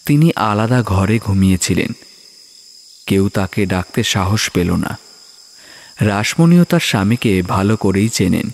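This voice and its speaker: A man's voice: fairly low pitch, animated, measured pace, vocal fry, pauses often. A man narrating a scripted horror story in a dramatic storytelling style.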